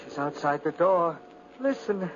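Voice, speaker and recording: An actor playing a crazed, paranoid aristocrat in a feverish, theatrical 1950s radio style. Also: male voice, on the high side, expressive, unhurried. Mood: frantic terror. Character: crazed, paranoid aristocrat haunted by his sister. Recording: dull, noisy 1950s radio recording.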